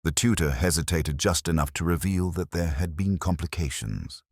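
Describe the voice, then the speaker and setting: Very deep-voiced, brooding detective played by a man. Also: lively intonation, dry recording, clean studio sound. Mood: brooding, observant. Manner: measured, literary, brooding, deliberate. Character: melancholy, observant older male detective narrating his case. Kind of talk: scripted first-person mystery narration.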